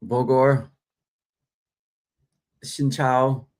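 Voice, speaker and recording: A man's voice: medium pitch, drawn-out words. A man from Australia, an actor, chatting casually on a phone live stream, thanking viewers by name and laughing easily. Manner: relaxed and friendly, talks to viewers by name, laughs often.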